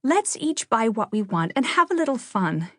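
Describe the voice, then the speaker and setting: A woman's voice: fairly high pitch, sing-song, normal speed, a bit bright, no room sound, studio quality, voice pushed up. A woman voicing a tomboyish, bookish teenage girl with a blunt, emphatic, grumbling manner in an audiobook. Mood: defiant.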